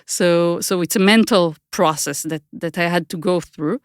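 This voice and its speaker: A middle-aged Israeli woman, Hebrew her native tongue, gives measured answers in an interview. She feels her way through each thought, repeating words as she reaches for the right one. The recording is dry and close. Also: lowish voice, clear, resonant tone, no echo. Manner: thoughtful, searching for words with many restarts. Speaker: guest, author.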